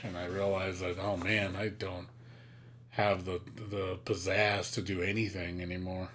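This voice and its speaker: A man's voice: low voice, a little hiss or hum. A man in his late thirties, talking to viewers on his live stream in a candid, rambling way. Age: late 30s. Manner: candid, rambling, self-critical.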